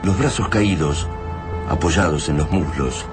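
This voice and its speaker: Radio drama narrator: male, Argentine accent, low register, scripted delivery over a music bed. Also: animated, a little slow. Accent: Argentine, Rioplatense.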